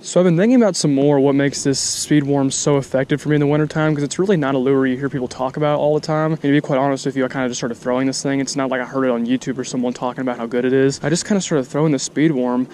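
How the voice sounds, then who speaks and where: Young guy, quick and chatty, vlogging from a pond on an action camera, outdoor noise behind. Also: medium pitch, fairly even intonation, darkish tone. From central Texas. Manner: chatty, rambling, lots of fillers. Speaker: fishing vlogger. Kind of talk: casual chat to camera while fishing. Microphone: action camera mic.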